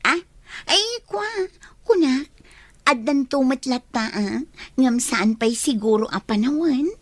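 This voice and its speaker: A woman's voice: sits high, lively intonation, takes it slowly, dry recording, heavily acted. A woman playing a wistful lovelorn young woman. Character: young woman Annalyn, secretly in love, shy. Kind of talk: radio drama acting.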